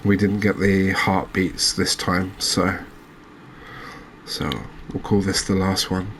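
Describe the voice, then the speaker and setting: A man giving soft-spoken, unhurried ASMR commentary as he plays a game, musing aloud to his viewers. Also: deep voice, monotone, a little hiss or hum. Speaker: game streamer, host.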